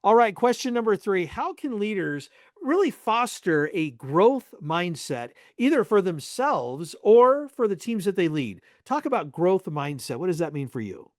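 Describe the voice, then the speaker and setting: Higher-pitched male podcast host, dry close sound. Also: big pitch swings, unhurried, no room sound. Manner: effusively appreciative, encouraging. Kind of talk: interviewing, conversational.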